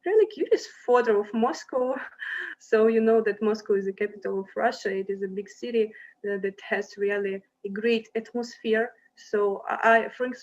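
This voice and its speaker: A woman's voice: medium pitch, moderate pace, highs cut off. A young woman from Ukraine presenting a university to applicants over slides, speaking hesitantly with many fillers and restarts.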